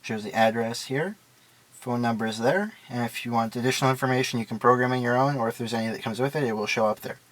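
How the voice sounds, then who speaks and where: Guy doing a casual product review, matter of fact, explains stuff step by step, normal pitch. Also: quick pace. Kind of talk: casual unscripted product walkthrough.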